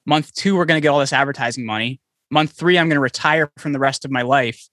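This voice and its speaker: Fast-talking, bright-voiced man. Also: medium pitch. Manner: fast, animated storytelling with many restarts. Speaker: podcast guest. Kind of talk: conversational interview. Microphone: podcast microphone, remote call.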